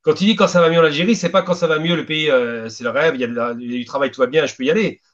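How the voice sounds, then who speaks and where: A man's voice: on the high side, racing, a bit bright. A man speaking as a guest on a radio debate, making emphatic, reasoned arguments. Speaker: panel guest. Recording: dull lo-fi radio sound.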